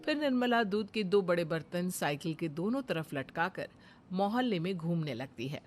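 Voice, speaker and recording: A woman's voice: lowish voice, very animated, moderate pace, darkish tone, soft background music. Woman narrating a cartoon moral story.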